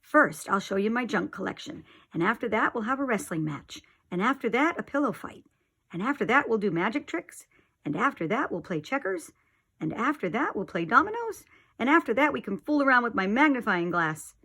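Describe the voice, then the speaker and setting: A woman voicing an excited, boastful young boy in a storybook, rattling off plans with relish. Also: a little high, brisk, slightly rough, voice pushed up, exaggerated voice.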